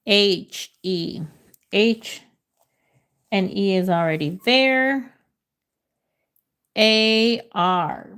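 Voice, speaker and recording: Brief: unhurried woman livestreamer, middle pitch, drawn-out casual delivery, reads letters aloud to chat. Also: clear, resonant tone. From United States. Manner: slow, drawn-out letter reading, switches into Spanish. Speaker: livestream host running a word game. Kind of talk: casual live chat with viewers.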